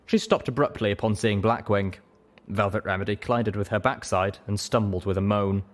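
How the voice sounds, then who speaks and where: A man's voice: fairly low pitch, a little fast. A man reading fiction aloud as the narrator of a reading show, in a dramatic scripted style.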